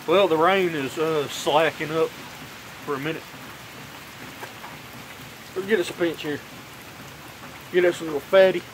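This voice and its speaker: Guy in his 20s, kinda high voice, loose cussing drawl, phone on a kickstand out on the porch. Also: a little slow, long pauses, a little hiss or hum, poor audio. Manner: laid-back drawl, frequent cursing. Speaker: vlogger reviewing dip tobacco. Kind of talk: casual rambling vlog chat. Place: covered porch outdoors in heavy rain. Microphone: phone camera on kickstand. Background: rain falling.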